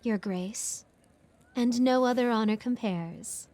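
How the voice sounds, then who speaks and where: Actress as scheming society noblewoman for audio role play: lightly high register, effusive, affected, sly. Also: slow pace, darkish tone. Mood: coy, excited. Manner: effusive, affected courtly politeness. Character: gushing, flattering, sly noblewoman, a duchess.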